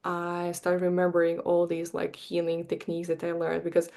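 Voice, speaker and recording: A woman in her forties from Bosnia, speaking as a podcast guest, earnest and heartfelt with a slightly halting delivery. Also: on the low side, completely flat delivery, vocal fry, darkish tone. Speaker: guest, marketing coach and energy healer. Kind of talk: podcast interview.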